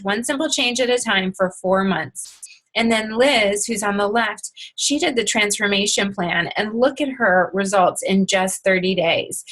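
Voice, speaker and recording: A woman talks through a promotional slide presentation in an upbeat, persuasive sales manner. Her pitch sits at an ordinary middle height. She often starts sentences with "so" and slips in small fillers as she reads. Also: a bit bright, rough recording. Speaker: presenter of a product webinar.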